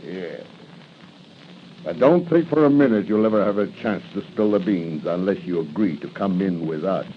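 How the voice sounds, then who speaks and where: Low-voiced, sneering gangster henchman. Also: animated, moderate pace. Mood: threatening. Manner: sneering, taunting. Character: menacing, mocking gangster henchman. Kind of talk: 1940s radio acting. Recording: muffled 1940s radio broadcast, faint noise.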